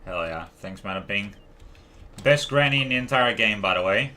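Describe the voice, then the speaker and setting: Bright-voiced, low-pitched man streaming a game. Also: expressive, normal speed. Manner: rambling, stumbling over words. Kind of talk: casual live gameplay commentary.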